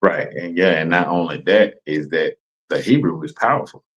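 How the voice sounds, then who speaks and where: A man's voice: low voice, normal speed, a bit bright, slightly rough, dull audio. A man explaining greetings in a casual chat, laid-back, often asking "you know what I'm saying". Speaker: class member explaining greetings.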